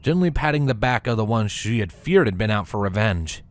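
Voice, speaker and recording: A man's voice: fairly low pitch, sing-song, brisk. A man narrating a scripted story as a reader. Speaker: fan fiction reader.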